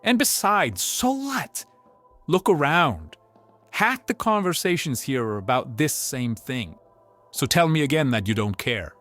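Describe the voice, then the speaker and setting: A man voicing a defensive, obsessive investigator of missing persons in an audiobook drama, halting and insistent. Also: fairly high pitch, very animated, fairly bright tone, faint music behind, voice pushed up. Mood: heated, insistent.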